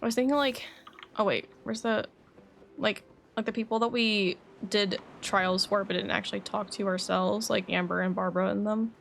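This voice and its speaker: Woman game streamer, slightly creaky voice. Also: mid-range voice, normal speed, slight background noise. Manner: thinking aloud, animated exclamations. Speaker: livestreamer playing a game. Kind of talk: casual gaming stream chat, reading quest dialogue aloud. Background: faint game music.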